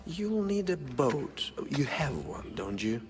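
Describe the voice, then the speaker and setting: A man playing a smooth-talking, calculating rich patron in a video game cutscene, measured and coolly condescending. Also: fairly high pitch, sing-song. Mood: coolly amused. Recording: long reverb, background music.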